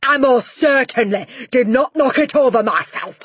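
A woman's voice: a little high, expressive, normal speed, breathy edge, dry recording, voice pushed up. A woman voicing a furious, bullying giant of a headmistress who roars at her class. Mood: furious roaring. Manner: roaring, shouting.

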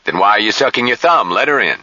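A man doing a gruff, low-voiced old doctor. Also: expressive, fast talker, sharp tone, dry recording, muffled recording, exaggerated voice. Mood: wry, impatient. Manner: curt, wry. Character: gruff, bossy, bald older male doctor, sardonic.

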